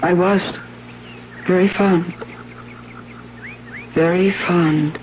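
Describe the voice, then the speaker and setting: An actress in a 1970s radio drama playing a guilt-ridden woman confessing, with intense, hushed storytelling. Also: on the low side, steady tone, drawn-out words, slight background noise. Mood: resigned guilt. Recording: dull old radio recording, loud music.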